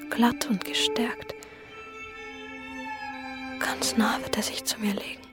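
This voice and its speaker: An actress playing a dreamy, infatuated young woman in a radio play, murmuring her inner thoughts in a breathy, intimate way. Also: fairly high pitch, fairly even intonation, slow, whispered. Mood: dreamy longing. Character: dreamy, infatuated young woman, inwardly narrating a longed-for tryst. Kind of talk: whispered radio-play monologue. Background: violin music.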